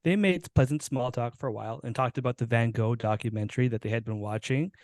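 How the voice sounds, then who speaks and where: A man reads a short story aloud in a dramatic narrating style. His voice sits at an ordinary middle pitch, with a small slip now and then, and is recorded dry. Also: quick pace, darkish tone, no room sound. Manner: stumbles and corrects himself while reading. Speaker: podcast host reading own short story.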